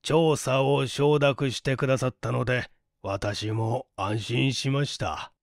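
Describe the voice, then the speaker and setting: A man voicing a courteous, troubled middle-aged father who explains things formally and deliberately in an audiobook mystery. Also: mid-range voice, fairly even intonation, gravelly, dry recording, clean studio sound, voice pushed down. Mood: earnest, troubled.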